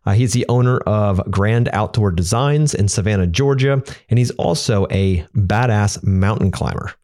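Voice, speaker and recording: A man's voice: lowish voice, moderate pace, a little mellow. A middle-aged man hosting a podcast, upbeat and a little rambling, repeating words as he talks to his guest. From Pennsylvania. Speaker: podcast host. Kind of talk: podcast interview.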